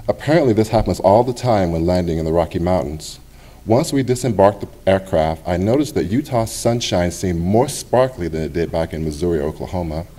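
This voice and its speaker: Low, mellow-voiced man reading aloud. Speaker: author reading his essay. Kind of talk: public reading of a personal essay. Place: public library reading event.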